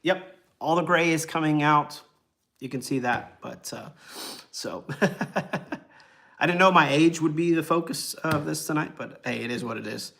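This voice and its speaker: A man's voice: fairly high pitch, normal speed, vocal fry, a bit bright. A man of forty, chatting casually and rambling with lots of ums while hosting a travel livestream. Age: 40s. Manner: rambling and easygoing, many ums and uhs, chuckles.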